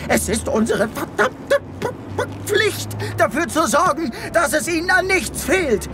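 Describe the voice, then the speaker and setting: High, wildly animated, gushing chicken official. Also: female voice, measured pace, background noise, heavily acted. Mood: fervent. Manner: effusive, stammering, clucking over words. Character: gushing, overeager chicken health official, devoted to knitting creatures. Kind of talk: comic sci-fi radio play acting.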